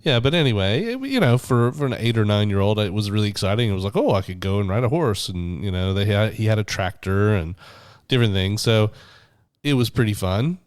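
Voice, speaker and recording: A man's voice: on the low side, expressive, fairly quick, clear, resonant tone, no room sound, studio quality. A man in his forties, getting over the flu, co-hosting a faith podcast and telling a story thoughtfully. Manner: storytelling, thoughtful, with frequent restarts. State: recovering from flu, voice deeper than usual. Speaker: podcast co-host, minister. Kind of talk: casual faith podcast chat.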